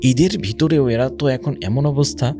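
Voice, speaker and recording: A man's voice: medium pitch, moderate pace. A man with a Bangladeshi accent giving a religious social commentary talk, speaking emphatically with rhetorical questions and some English words mixed in. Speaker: Islamic speaker. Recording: music behind the voice.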